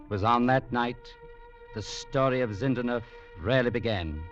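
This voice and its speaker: An actor playing an earnest young gentleman, narrating in a measured storytelling manner in an old radio drama. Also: medium pitch, close to an everyday voice. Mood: grave. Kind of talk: 1940s radio acting. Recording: lo-fi radio recording with music.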